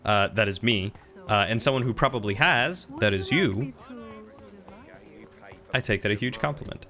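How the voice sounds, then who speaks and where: A man's voice: fairly low pitch, moderate pace, music in the background. A man in his thirties chatting casually with viewers while commenting on the video game he plays. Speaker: game streamer.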